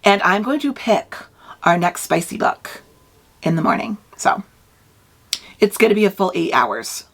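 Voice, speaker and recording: A woman in her thirties chatting casually at home about the books she reads, her voice worn and raspy from a long day, full of "like" fillers. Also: mid-range voice, big pitch swings, unhurried, pauses often. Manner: hushed whisper early in the morning. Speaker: book vlogger. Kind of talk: casual read-along vlog chat.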